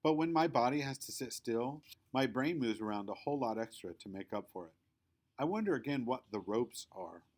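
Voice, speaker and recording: A man reading a children's story aloud as narrator, in an expressive, emphatic read-aloud style. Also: medium pitch, moderate pace. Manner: animated, emphatic. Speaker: storybook reader.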